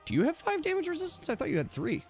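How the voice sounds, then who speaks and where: A man's voice: very high voice, huge pitch swings, rapid-fire. A man acting as game master in a casual tabletop game, explaining rules step by step. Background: background music.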